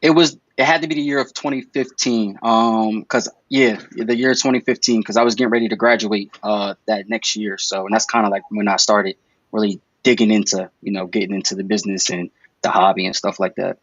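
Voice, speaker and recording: A man's voice: on the low side, steady tone, a little fast, a bit bright, dry recording, muffled recording. A man in his twenties, a guest reptile breeder chatting casually on a video-call podcast, talking in a laid-back, rambling way with many fillers. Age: 20s.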